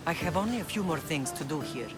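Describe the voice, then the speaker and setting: An actress playing a commanding, protective middle-aged Spartan mother and leader in a video game, speaking in a measured, formal, authoritative way. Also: sits low, lively intonation, music in the background. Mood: solemn, caring. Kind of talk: dramatic video game acting.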